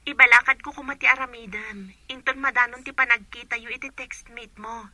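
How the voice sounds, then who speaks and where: A woman's voice: on the high side, steady tone, fairly quick, sharp tone. A woman playing an inquisitive young friend who gives advice in a radio drama. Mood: advising.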